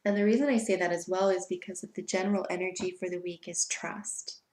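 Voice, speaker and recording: A woman giving a weekly tarot reading in an unhurried, soft way, sounding stuffy from allergies. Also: on the low side, steady tone, reverberant. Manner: soft, unhurried, spiritual invocations. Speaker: tarot reader.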